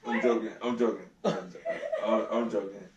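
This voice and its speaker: Casting: man from Ohio, teasing podcast co-host, mid pitch, distant mic in an echoey room. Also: little pitch movement, normal speed, muffled recording, crowd noise. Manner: joking, teasing, storytelling. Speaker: podcast co-host, band member. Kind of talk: casual banter among friends. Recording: roomy, distant mic with faint hiss.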